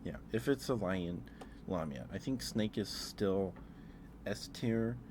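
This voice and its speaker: A man doing a casual, rambling ASMR livestream, speaking in a hesitant, musing way and repeating himself over clear background noise. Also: lowish voice, lively intonation, takes it slowly. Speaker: live streamer.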